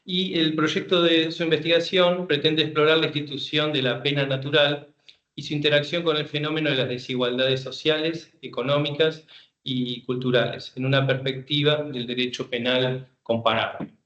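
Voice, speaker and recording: A man's voice: fairly high pitch, flat delivery, moderate pace, a bit bright. Man introducing a speaker in an echoey room. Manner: reads a bio, then loose and informal. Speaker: seminar moderator. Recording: echoey room, muffled lo-fi sound.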